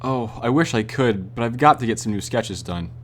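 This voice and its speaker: A man's voice: medium pitch, lively intonation, normal speed, lightly acted. A man playing a friendly, playful young man in an audio drama. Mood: affectionate. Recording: loud background noise.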